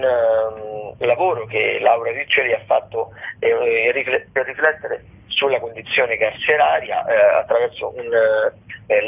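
A man hosting radio arts listings in a semi-improvised, halting way, often correcting himself. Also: mid-range voice, gravelly, slightly rough, narrow, tinny audio. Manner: hesitant, halting delivery with self-corrections. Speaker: radio host presenting theatre listings. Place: radio studio.

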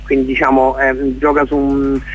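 A man's voice: medium pitch, monotone, moderate pace. A man being interviewed casually as a theatre guest, searching for his words as he explains things. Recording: dull lo-fi radio sound.

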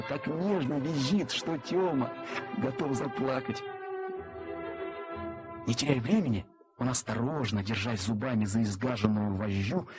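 A man narrating a scripted story as a dramatic, expressive and suspenseful storyteller. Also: mid-range voice.

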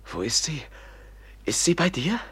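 A gentle, anxious young prince in an old radio drama, earnest and pleading. His voice is husky and unhurried. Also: on the high side, big pitch swings, full character voice. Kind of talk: 1950s radio drama acting.

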